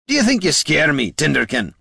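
A man voicing a gruff, blunt male hero who talks in a plainspoken, growling way. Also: fairly high pitch, huge pitch swings, brisk, sharp tone, dry recording, studio quality, voice pushed up, heavily acted. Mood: defiant.

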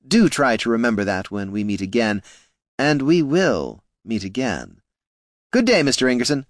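A man's voice: mid-range voice, very animated, moderate pace, no room sound, clean studio sound, lightly acted. A man voicing a sly, smug male gnome who talks with oily, mock-polite courtesy. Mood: silky threat.

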